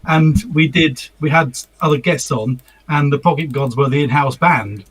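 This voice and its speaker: A man's voice: a little high, moderate pace, a bit bright, husky, low-quality recording. A man co-hosting a casual online radio show, recalling past events in a rambling, self-correcting way. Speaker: co-host. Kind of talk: casual chat.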